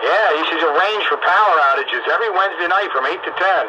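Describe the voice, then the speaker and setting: A man's voice: high-pitched, fast, muffled recording. A man trading casual banter as an amateur radio operator over the air. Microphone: two-way radio microphone. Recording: noisy amateur radio transmission.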